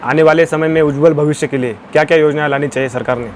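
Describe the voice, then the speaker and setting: A man's voice: fairly high pitch, steady tone, fast. Noisy outdoor recording with traffic, man, Indian accent, interviewer, respectful, deferential questions, short acknowledgements.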